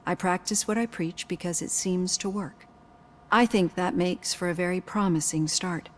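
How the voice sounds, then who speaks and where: Low-pitched composed female psychologist played by a woman. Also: fairly even intonation, lightly acted. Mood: confiding, measured. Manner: measured, articulate, clinical. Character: composed, probing female psychologist with prosthetic hand. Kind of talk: video game voice acting.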